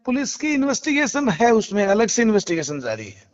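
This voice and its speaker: High-pitched male lawyer on muffled call. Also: wildly swooping pitch. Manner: halting, repeats phrases, polite frequent acknowledgements. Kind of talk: phone interview, explaining a case. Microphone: phone call. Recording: muffled, lo-fi phone call recording.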